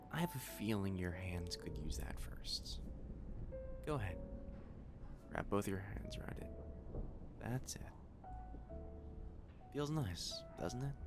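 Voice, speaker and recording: Man as a low, creaky-voiced catboy waiter. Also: heavily acted. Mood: soothing. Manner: gentle, soothing, lightly teasing. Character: caring, teasing male catboy cafe waiter. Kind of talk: ASMR roleplay.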